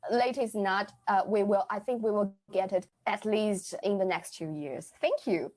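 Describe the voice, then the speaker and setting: A young woman in her twenties talking casually on a podcast, earnestly improvising a playful mock product pitch. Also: moderate pace, darkish tone. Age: 20s. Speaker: theatre group member, guest.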